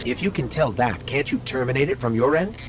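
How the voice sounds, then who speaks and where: An actor voicing an eager, curious little robot companion in a video game, earnest and conversational. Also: male voice, medium pitch, animated, fairly quick, background noise, close to an everyday voice. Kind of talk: video game cutscene acting.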